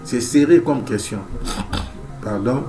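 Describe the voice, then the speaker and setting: A man's voice: medium pitch, takes it slowly, over music, poor audio. A male preacher with a cold, delivering an emphatic sermon that repeats key phrases, with frequent amens and alleluias. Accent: Central African French. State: has a cold.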